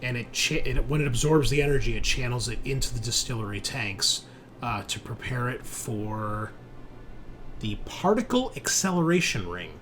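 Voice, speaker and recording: A man's voice: medium pitch, fairly even intonation, moderate pace, fairly bright tone, a little hiss or hum. Man running a tabletop game. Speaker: game master. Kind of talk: casual tabletop game narration and table talk.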